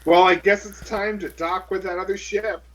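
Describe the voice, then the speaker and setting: A man's voice: high voice, steady tone, moderate pace, a bit bright. A man chatting and role-playing casually as a player in a tabletop game.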